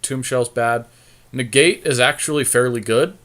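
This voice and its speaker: A man's voice: medium pitch, moderate pace, fairly bright tone. A man with a mid-range voice talks through card picks as a podcast co-host. His delivery is casual and easygoing, as he tentatively works out his choices aloud. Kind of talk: casual card game draft commentary.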